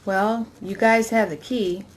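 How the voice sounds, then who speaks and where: Casting: easygoing young 1960s commune woman read by a female performer, medium pitch, for audio fiction. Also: fairly even intonation, slow pace, natural voice, low-quality recording. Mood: matter-of-fact. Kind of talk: dramatic reading of novel dialogue.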